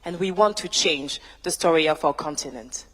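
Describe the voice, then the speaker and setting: A woman's voice: sits low, background noise. Woman speaking in a big hall. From France. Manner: measured, emphatic, speaks in rhythmic lists. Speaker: tech founder, panel speaker. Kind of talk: prepared keynote-style panel answer. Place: large university hall, on stage. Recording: reverberant large hall.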